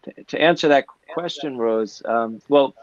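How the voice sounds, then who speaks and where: A man's voice: mid-range voice, unhurried. A man, a therapist guest answering at length with many fillers and restarts on a video call. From New York City. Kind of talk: conversational interview answers. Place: indoor office, video call. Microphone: earbuds on a video call. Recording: dull, dry video call audio.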